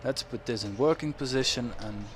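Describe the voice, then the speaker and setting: A man's voice: mid-range voice, normal speed. A young man with a Dutch accent giving rambling, self-correcting commentary over farming game footage, chuckling now and then. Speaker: gaming YouTuber. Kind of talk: casual gameplay commentary. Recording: game engine noise behind voice. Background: farm machinery and ambient game sounds.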